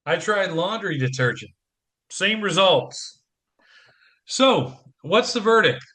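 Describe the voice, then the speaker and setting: An actor playing a harried single dad in an audio play, joking and self-mocking in a theatrical manner. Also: male voice, high-pitched, animated, slow, bright, forceful tone, heavily acted. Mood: wry banter.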